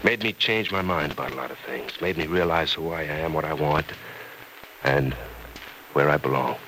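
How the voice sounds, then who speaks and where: Earnest romantic lead, deep and forceful voice, halting wry delivery, radio soap, faint noise. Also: male voice. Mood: resolute. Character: earnest, lovelorn man torn about leaving town. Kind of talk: old-time radio soap opera acting. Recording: noisy vintage radio recording.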